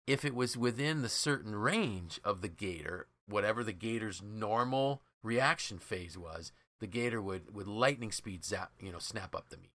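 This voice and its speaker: A man's voice: on the low side, lively intonation, normal speed, few pauses, a bit bright. A man hosting a podcast, explaining ideas from a book in a conversational, emphatic way. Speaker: podcast host.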